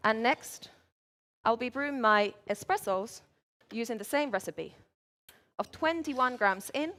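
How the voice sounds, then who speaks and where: A woman speaks in a clear, measured way as she presents her drinks to judges. Her voice sits a little high for a woman. She talks through each step while she works. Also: pushed and bright. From Finland. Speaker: barista competitor. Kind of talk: competition presentation. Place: large event hall, live competition.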